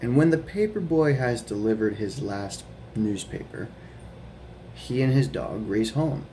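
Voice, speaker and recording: A man's voice: medium pitch, a little hiss or hum, low-quality recording. Man reading a picture book aloud. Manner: gentle storytelling with chatty asides and quiz questions. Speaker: guest reader of a children's book. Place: at home. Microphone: camera mic.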